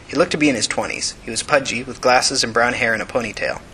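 Guy reading a story out loud, bright forceful voice, some noise and rumble behind. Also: fast, rough recording. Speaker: narrator. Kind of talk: scripted fiction narration.

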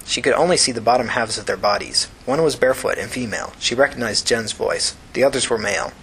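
A man's voice: medium pitch, quick pace, fairly bright tone, slight background noise, rough recording. A man reading scripted fiction aloud as an audiobook narrator.

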